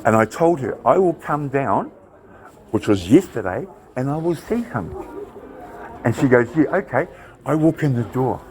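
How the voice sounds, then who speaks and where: Nz guy arguing with cops, loud and insistent, pushy, normal pitch. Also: animated, normal speed, poor audio. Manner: loud, insistent, pleading his case. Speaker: member of the public confronting police. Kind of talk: heated argument. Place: government office lobby, indoors.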